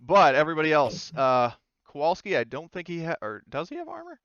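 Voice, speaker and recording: A man with a clear, mid-pitched voice runs a tabletop roleplaying game. He is casual and halting, reasoning through rules as he goes. The sound is very dry and a little lo-fi. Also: wildly swooping pitch, a bit bright, no room sound. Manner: halting, thinking aloud while working out rules. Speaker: game master.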